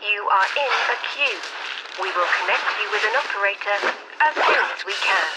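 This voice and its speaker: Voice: mid-range voice, animated, normal speed, close to an everyday voice. A voice performing an automated police queue message, formal and impersonal, as a recorded phone announcement. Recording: noisy phone hold message.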